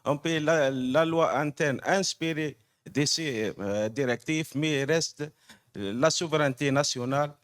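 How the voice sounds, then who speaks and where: A man from Tunisia, a tax official, asking a halting technical question from the floor at a conference. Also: on the high side, a bit bright. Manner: halting, technical, many fillers and restarts.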